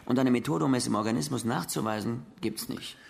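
An actor playing a gravelly, matter-of-fact police colleague giving an explanatory briefing in a German radio crime drama. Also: male voice, medium pitch, a little fast. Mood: factual, intent.

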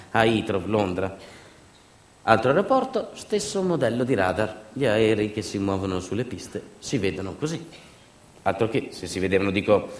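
Sardonic male stage storyteller, echoey room. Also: sits low. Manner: sardonic, ironic understatement with rhetorical asides; dramatic swings between emphatic delivery and quiet asides. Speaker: stage actor and narrator of a monologue. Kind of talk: theatrical narrative monologue, documentary storytelling. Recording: echoey, roomy sound.

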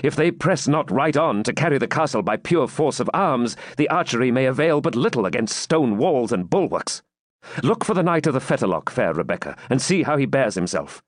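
A man's voice: mid-range voice, big pitch swings, fairly quick, no room sound, clean studio sound, pitched above the performer's own voice. A man playing a wounded medieval knight, proud and impatient, with declamatory, insistent, archaic chivalric speech. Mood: commanding.

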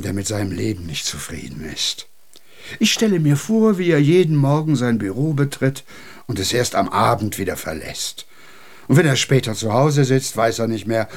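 An actor playing a whimsical, kindly junk collector in a German radio play, musing and animated with a raspy voice. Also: male voice, mid-range voice, normal speed. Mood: wistful, musing.

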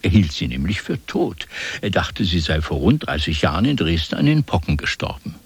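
Very deep, lively male radio-play narrator. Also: normal speed, breathy edge.

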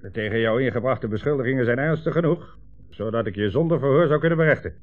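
An actor playing a menacing, sarcastic communist commissar in a 1960s radio drama, speaking imperiously and with contempt. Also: male voice, mid-range voice, fast, natural voice. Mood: cold, sneering. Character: menacing communist commissar, sneering, bullying, coldly sarcastic interrogator. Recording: tinny, band-limited old radio recording, faint music.

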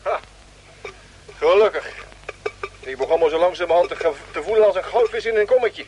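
An actor as a high-voiced spaceship radio operator. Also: male voice, brisk, darkish tone, a little hiss or hum, natural voice, poor audio. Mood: dutiful. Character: eager, good-natured spaceship radio operator. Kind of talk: radio play acting.

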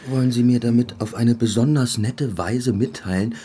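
An actor playing a defensive, evasive young literature academic in a radio drama, with a husky voice and a dry, guarded manner. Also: male voice, medium pitch, moderate pace, warm tone, natural voice.

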